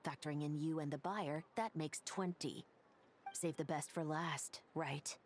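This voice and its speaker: A woman playing a composed, capable patrol officer in a video game, terse, calm and dry. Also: sits low, unhurried, slight background noise. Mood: cool authority.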